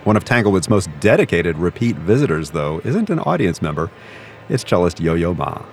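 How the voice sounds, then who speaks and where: A man hosting a classical concert broadcast, reading scripted narration in a measured, even announcer's delivery. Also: sits low, soft background music. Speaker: radio concert host. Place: concert venue broadcast booth.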